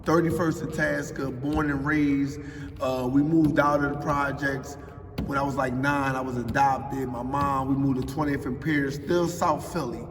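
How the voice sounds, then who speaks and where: A man's voice: mid-range voice, steady tone, a little slow, noisy background. Philly guy, 40s, emphatic street talk, recorded from afar in a big echoey hall. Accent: Philadelphia. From Philadelphia. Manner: street-talking, emphatic, often adds "you know what I mean". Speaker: interviewee. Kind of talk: casual interview answers. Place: large echoey public building. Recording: roomy hall echo, distant mic, faint ambience.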